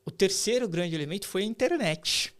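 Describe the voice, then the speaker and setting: A man's voice: sits high, lively intonation, brisk. A man in his late thirties with a Brazilian accent, explaining things conversationally as an interview guest, emphatic and colloquial, often tossing in rhetorical questions. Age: late 30s. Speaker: podcast guest, artificial intelligence expert and professor.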